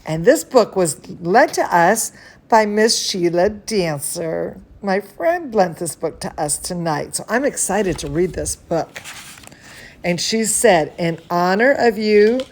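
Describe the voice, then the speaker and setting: A woman's voice: lowish voice, animated, slow pace. A woman hosting a children's bedtime story, reading aloud with affectionate, chatty asides and exclamations for young listeners. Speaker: children's bedtime story host and cooking teacher.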